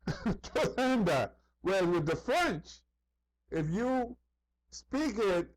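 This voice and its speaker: A man's voice: fairly high pitch, low-quality recording. A man talking in a casual, rambling monologue, repeating himself, saying "you know" often and acting out other people's remarks as he tells anecdotes. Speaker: vlogger.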